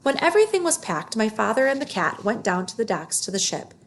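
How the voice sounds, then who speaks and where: A woman's voice: on the high side, very animated, brisk, a little hiss or hum. A woman reading a children's story aloud, phrasing it carefully and expressively.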